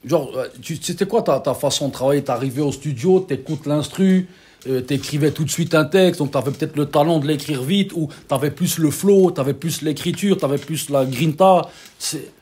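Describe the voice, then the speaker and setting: Teasing, lively man hosting a chat. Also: fairly high pitch, breathy edge. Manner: lively, teasing, fires quick questions. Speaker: show host. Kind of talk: casual interview chat.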